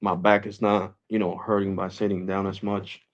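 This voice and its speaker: A man's voice: sits low, completely flat delivery, moderate pace, dull audio. A man in his forties hosting a live stream, chatting casually and rambling, saying "bro" and "guys" often. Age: 40s. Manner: switches to Spanish with family.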